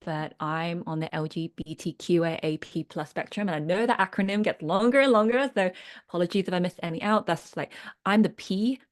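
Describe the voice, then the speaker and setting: A woman with a slightly low voice leads a video-call workshop for business owners. She speaks earnestly and reflectively, pausing to check in with her listeners. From United Kingdom. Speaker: workshop leader and business coach. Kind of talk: online workshop talk.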